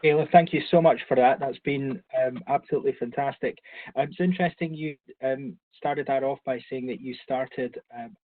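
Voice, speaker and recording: Man hosting an online meetup, relaxed and halting, tinny call audio. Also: mid-range voice, no room sound. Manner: hesitant, many fillers. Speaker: meetup host. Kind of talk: casual chat. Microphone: video call. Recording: phone-like online meeting audio.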